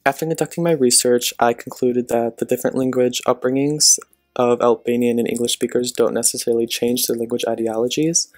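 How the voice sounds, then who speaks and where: Flat, gravelly young male student narrator. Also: medium pitch, no echo. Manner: even, read-aloud delivery. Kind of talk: reading a class project narration.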